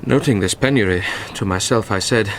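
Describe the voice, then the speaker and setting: An actor with a British accent playing an impassioned, grief-stricken young nobleman in a classical Shakespearean radio drama, delivering verse theatrically and deliberately. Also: on the low side, a little hiss or hum, natural voice. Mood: brooding, desperate.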